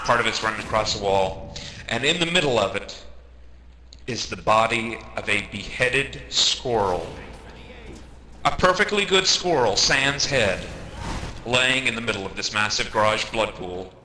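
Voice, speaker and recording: A man telling a comedic anecdote in an animated way, acting out the quotes as he goes. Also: lowish voice, measured pace. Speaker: storyteller, online gamer. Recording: noisy amateur game-session recording.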